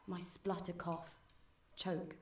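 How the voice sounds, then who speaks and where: With clear room echo and some distance from the mic, a woman recites verse. Her voice is a little on the low side. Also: level tone, slow pace, darkish tone. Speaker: poetry reader. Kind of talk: spoken-word poetry recital.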